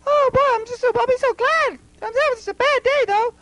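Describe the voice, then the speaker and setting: Puppet comedy doll: very shrill, sharp falsetto, whiny, panicky born victim. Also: male voice, fairly quick. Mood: whiny, chatty. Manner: whiny, wailing, exclamatory. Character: squeaky, panicky, hapless male doll, forever a victim. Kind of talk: homemade puppet comedy skit.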